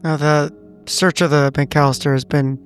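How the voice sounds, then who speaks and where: A man playing a tabletop roleplaying game, improvising in-character talk with careful, measured wording. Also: fairly high pitch, flat delivery, faint music behind.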